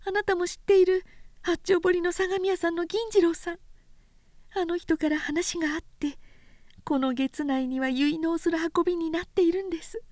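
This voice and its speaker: A woman's voice: fairly even intonation, a little mellow, dry recording, clean studio sound. A woman voicing a pleading young Edo-era maiden. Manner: high, rapid, urgent pleading. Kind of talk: literary reading.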